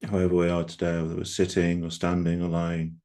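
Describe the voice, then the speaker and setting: A man leading a guided meditation as a group guide, speaking gently and unhurriedly with long pauses. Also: deep voice, monotone.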